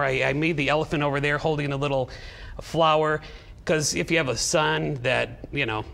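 A man's voice: medium pitch, gravelly, fairly bright tone. A middle-aged man, chatting and joking as he gives step-by-step instructions as a guest on live television. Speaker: guest towel-folding demonstrator and seller. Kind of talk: casual live TV demonstration. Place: TV studio at a demonstration table.

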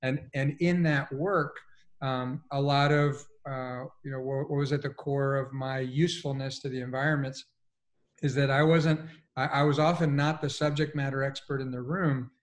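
A man in his forties speaks with a fairly level, mid-pitched delivery. He is a thoughtful, articulate panelist telling personal stories from home over a video call. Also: steady tone, moderate pace. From Colombia, moved to the United States as a child. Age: 40s. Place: living room at home.

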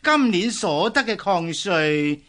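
An obsequious eunuch of the imperial palace in a radio drama. He has a Hong Kong Cantonese accent and drags his words out with big swings of pitch. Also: expressive, fairly bright tone, heavily acted. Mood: fawning, eager. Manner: obsequious, deliberate. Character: fawning palace eunuch reporting to his emperor. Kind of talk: historical radio drama acting.

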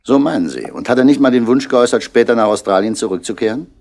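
An actor playing a shrewd, laconic police commissioner in a 1960s German radio crime drama, husky and sardonic. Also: male voice, mid-range voice, lively intonation, quick pace, darkish tone. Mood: probing, calm. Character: dry, unhurried, shrewd male police commissioner.

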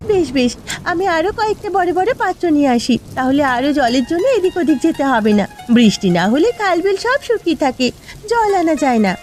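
A woman's voice: high-pitched, big pitch swings, a little fast, darkish tone. A woman playing a weary, fretful but caring village housewife in a cartoon, complaining and pleading dramatically. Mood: concerned, insistent. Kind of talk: Bengali cartoon voice acting. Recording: music behind the voice.

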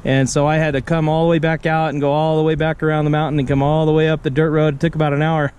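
A middle-aged American man with a fairly high voice, recorded outdoors with clear noise behind him. He talks casually as a ham radio operator and repeats short phrases for clarity. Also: flat delivery, fast. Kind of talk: casual radio chat while demonstrating gear. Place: outdoor picnic table on a wooded mountain. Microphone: camera on the table nearby.

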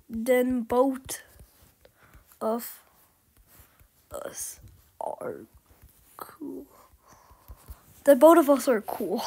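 A woman's voice: sits high, mellow, slightly rough. A woman hosting a casual gameplay video, teasing a friend playfully and often dropping into whispers. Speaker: gaming channel host.

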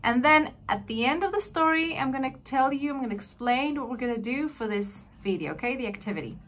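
A woman in her thirties speaks in a somewhat high voice. She teaches young learners in a conversational, instructive way, repeating key phrases and posing questions that she then answers. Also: lively intonation, a little fast, a bit bright, slight background noise. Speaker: language teacher. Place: small furnished room at home, indoors.

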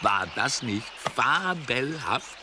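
A man's voice: medium pitch, sing-song, takes it slowly, background noise. A man narrating a scripted children's story.

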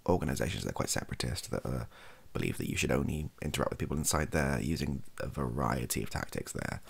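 Young British male, soft-spoken ASMR chat; husky, lively intonation, hesitant and informal. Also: rumbling low. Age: young adult. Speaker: content creator. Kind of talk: softly spoken ASMR-style casual chat to camera. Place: small cluttered bedroom, indoors.

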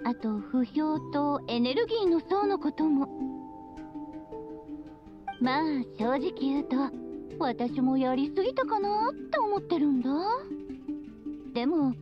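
High-voiced, playful witch mother. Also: big pitch swings, slow, long pauses, highs cut off, over music, exaggerated voice. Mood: breezy, fond. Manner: breezy, teasing, sing-song friendliness. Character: playful, free-spirited witch mother, bubbly and affectionate. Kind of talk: video game voice acting, monologue.

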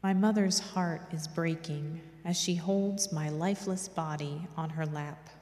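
A middle-aged woman giving a solemn, measured devotional reading at a lectern microphone in a church. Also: on the low side, darkish tone. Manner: measured, reverent. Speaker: reader at a church devotional service. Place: large church, indoors. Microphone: gooseneck lectern microphone. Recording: echoey church hall with long reverb.

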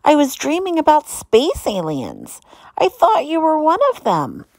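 A woman's voice: sits high, huge pitch swings, unhurried, darkish tone, no room sound, pitched above the performer's own voice, heavily acted, low-quality recording. A woman voicing a startled, doubtful but kindly young hamster sister in a children's storybook, speaking hesitantly. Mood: frightened.

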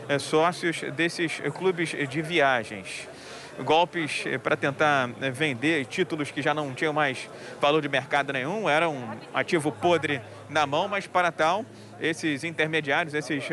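A man in his thirties reporting live outdoors at a crowded event, speaking into a handheld mic through a face mask, chatty with many fillers. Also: fairly high pitch. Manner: chatty, many fillers, addresses anchor by name. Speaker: field reporter. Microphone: handheld mic, wearing a face mask. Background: crowd murmur.

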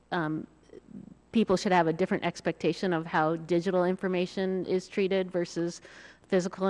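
A middle-aged woman in a large hearing room, asking a witness polite, deliberate questions into a desk microphone. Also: fairly low pitch, a little mellow. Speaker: committee member questioning witnesses. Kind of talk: formal questioning.

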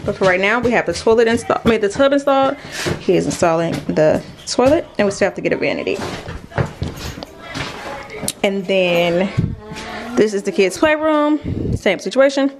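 Clear background noise surrounds a young woman's voice at an average female pitch. She talks casually and directly to her viewers, as a vlogger does when showing people around a house, picked up by a nearby camera indoors. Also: lively intonation, moderate pace, long pauses. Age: young adult. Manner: informal, addresses viewers directly. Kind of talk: casual vlog chat, narrating a house tour. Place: indoors, rooms of a house under renovation.

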